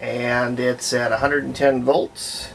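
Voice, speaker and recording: A man's voice: mid-range voice, flat delivery, slow, gravelly, fairly bright tone, slight background noise. A man talking casually and unscripted in a folksy way as he demonstrates a hands-on repair at an indoor workbench. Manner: folksy, unscripted, narrating while working. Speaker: hobbyist radio restorer presenting a repair.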